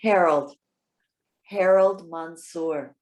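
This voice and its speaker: A woman's voice: fairly low pitch, extremely slow, a little mellow, rough recording. A woman acting a proud, independent elderly woman in readers theater, articulate, sarcastic and defensive. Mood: wary, testy.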